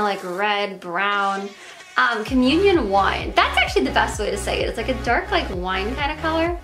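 Young female vlogger, higher voice, animated and bubbly, lots of "like"s, a bit strained, music under her. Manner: gushing, filler-heavy, lots of "like" and "oh my gosh". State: slightly strained voice. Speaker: beauty vlogger. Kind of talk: casual product showcase to camera. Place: small bedroom with plush toys, indoors.

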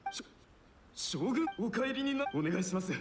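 An actor playing a wounded, desperate ghostly samurai in an anime game, speaking in a strained, halting, urgent way. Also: male voice, extremely high pitch, sing-song, noisy background. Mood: shocked, pleading. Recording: reverberant, music underneath. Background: game background music.

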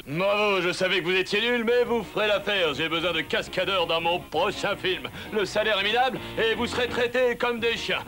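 An actor voicing a pompous, mocking alien posing as a flamboyant film director in a French cartoon dub. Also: male voice, sits high, normal speed, hardly any pauses, bright, forceful tone, soft background music. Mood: scornful.

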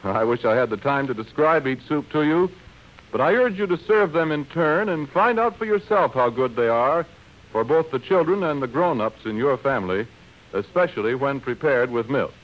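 A man announcing in scripted 1930s radio style, presenting a drama and pitching for its sponsor. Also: normal speed. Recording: muffled 1930s radio broadcast, music.

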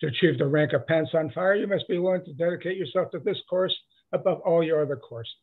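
A man's voice: a little high, fast talker, close to an everyday voice. A man acting a grandiose, gleeful professor of lying who lectures like a declaiming salesman in a comedy sketch. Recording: dull lo-fi sound.

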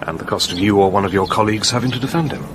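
An actor playing a reserved middle-aged police commander with an English accent, narrating and speaking in a measured, probing way. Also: male voice, lowish voice, noisy background, natural voice. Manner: measured, grave, restrained. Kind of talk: radio drama narration and dialogue.

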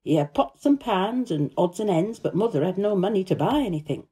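A woman playing a cheerful, warm-hearted young housemaid who gossips eagerly in broad Yorkshire dialect, in a storybook reading. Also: medium pitch, animated, fairly quick, breathy edge.

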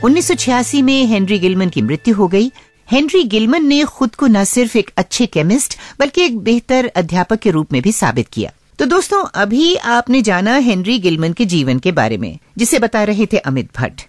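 A woman's voice: medium pitch, very animated, moderate pace, darkish tone, no room sound, soft background music. A woman hosting a radio show in a scripted but conversational style.

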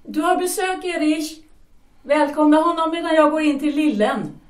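A woman playing a brisk young mother. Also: high voice, close to an everyday voice. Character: young mother, wife of a shipyard worker. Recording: echoey room, distant mic.

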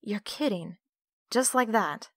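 A protective, concerned ally voiced by a woman in an audio drama. Her voice sits at a middle pitch and the sound is dry, free of room echo. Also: expressive, slow pace, a bit bright, heavily acted. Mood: anxious, relieved. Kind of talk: audio roleplay drama acting.

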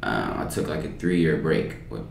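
A young man from Kansas City, Kansas, talking about his rap music in a relaxed, loose podcast interview in a library room. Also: a bass voice, normal speed, creaky, slight background noise. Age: young adult. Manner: relaxed, loose, filler-heavy speech. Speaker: guest rapper. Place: library study room, indoors. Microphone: desktop microphone. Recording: echoey room.

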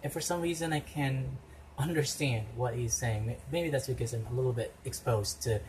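A man, his Ilonggo, explaining things carefully and then breaking into excited exclamations while reacting to a video through a headset mic in a small room. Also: medium pitch, moderate pace, slight background noise, poor audio. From Philippines. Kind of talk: casual reaction commentary.

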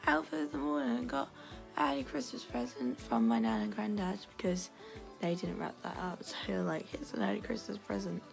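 A young woman with a hoarse, gravelly voice from losing it, chatting casually through a home vlog while filming on her phone at arm's length. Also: medium pitch, animated, normal speed. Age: young adult. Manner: chatty, narrating as she goes. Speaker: vlogger. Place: home, indoors. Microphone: phone held at arm's length. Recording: music playing over voice.

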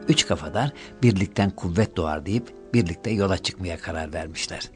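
A man's voice: low voice, normal speed, darkish tone. A man narrating a scripted children's fairy tale as a storyteller, with music behind him.

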